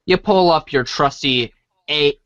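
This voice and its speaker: A man's voice: a little high, a little slow, fairly bright tone, no room sound, highs cut off. A man narrating a scripted read-along storybook in the second and third person.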